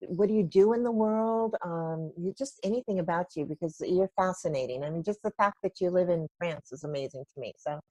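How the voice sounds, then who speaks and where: A woman's voice: on the low side, fairly quick, few pauses, a little mellow, no echo, poor audio. A woman hosting a live video interview, effusive and full of gushing praise for her guest. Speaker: host.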